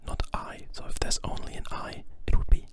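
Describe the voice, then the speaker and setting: A young adult from Germany, giving a whispered ASMR-style language lesson in an informal, rambling way with careful repetition of words. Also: a little fast. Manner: informal, rambling explanations with careful repeated pronunciation. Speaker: language teacher.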